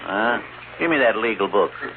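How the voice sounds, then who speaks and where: A man's voice: fairly low pitch, animated, normal speed. A man playing a crusty, bossy frontier judge in a 1950s Western radio drama, with a folksy American Western drawl. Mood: gruff, bossy. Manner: folksy, gruff, commanding. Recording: tinny 1950s radio recording, hiss.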